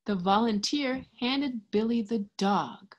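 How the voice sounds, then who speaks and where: A young woman reading a picture book aloud to small children, expressively and deliberately, pausing to explain and ask simple questions. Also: on the high side, lo-fi sound. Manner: expressive, deliberate, child-directed teacher talk. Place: indoors in front of a green screen.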